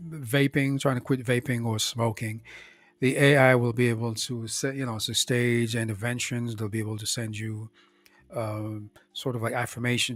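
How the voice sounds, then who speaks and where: A slightly hoarse man casually running through his startup projects, speaking in a halting way with many fillers and restarts. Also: medium pitch, dry recording. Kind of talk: casual spoken rundown of projects.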